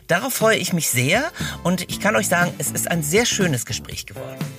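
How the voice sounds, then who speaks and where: A man's voice: high voice, sing-song, normal speed, music in the background. A man hosting a podcast, addressing the listeners directly as presenter. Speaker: podcast host.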